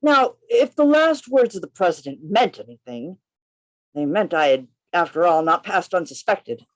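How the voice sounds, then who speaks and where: Theatrical male undercover policeman voiced by an actor, suspenseful first-person radio narrator with lively, wide intonation. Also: mid-range voice, normal speed, close to an everyday voice. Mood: measured narration. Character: Gabriel Syme, tense undercover policeman telling his story. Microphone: video call.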